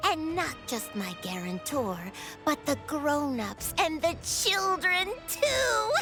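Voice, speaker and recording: A woman's voice: high-pitched, very animated, takes it slowly, music in the background, full character voice. A woman voicing a small, gleefully malicious shapeshifting teddy bear in a video game, theatrical and taunting in a childlike way. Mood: gloating, malicious. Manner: theatrical, taunting, speaks of itself in third person. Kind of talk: anime-style video game voice acting.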